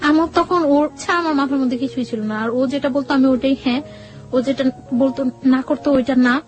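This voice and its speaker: A woman with a high voice recounts her own experiences as an interview guest. She speaks conversationally and haltingly, slipping in quoted dialogue, over faint background music. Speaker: guest telling her life story. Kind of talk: personal storytelling in an interview.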